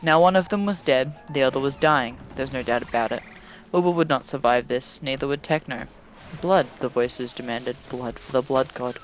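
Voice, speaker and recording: A man's voice: mid-range voice, moderate pace, faint music behind. A man doing a dramatic story reading over voice chat, dropping into casual, sweary asides with friends. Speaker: reader of a fan fiction story. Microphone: voice chat microphone.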